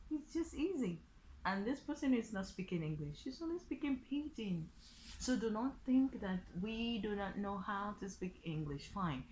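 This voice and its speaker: A woman's voice: lowish voice, a little mellow, a little hiss or hum, low-quality recording. A woman with a Nigerian accent gives an informal tutorial, explaining step by step and repeating phrases with examples. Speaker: online language teacher and vlogger.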